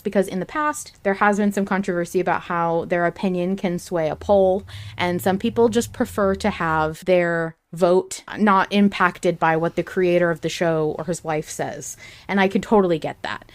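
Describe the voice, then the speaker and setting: A woman hosting a podcast, talking casually and with a fan's enthusiasm about a fiction show. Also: lowish voice. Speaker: podcast host.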